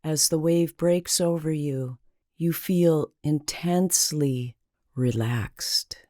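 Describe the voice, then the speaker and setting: A woman leading a guided meditation in a calming, deliberate manner with long pauses between phrases. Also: deep voice, dry recording, clean studio sound.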